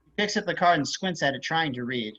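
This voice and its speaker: A man's voice: fairly high pitch, animated, fast talker, pushed and bright. A man narrating stage directions for a screenplay table read over a video call. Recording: slightly dull video-call audio.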